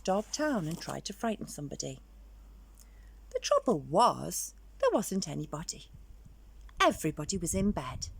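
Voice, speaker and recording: Female children's storyteller: expressive, very lively pitch, medium register, dramatic stretched emphasis. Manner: dramatic drawn-out words, varied emphasis. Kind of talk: expressive children's picture book read-aloud.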